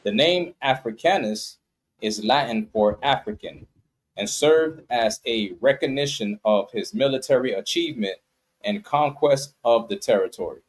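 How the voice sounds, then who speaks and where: A man with a mid-pitched voice reading an article aloud. He goes haltingly and stumbles over harder words. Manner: reads aloud haltingly, brief agreements. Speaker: reader of articles.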